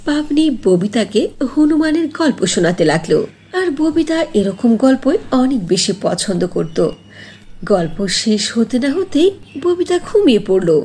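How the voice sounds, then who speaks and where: A woman narrates a scripted cartoon tale in a fairly high, husky voice. Her tone is mellow, and her storytelling rises and falls in an animated way. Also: measured pace.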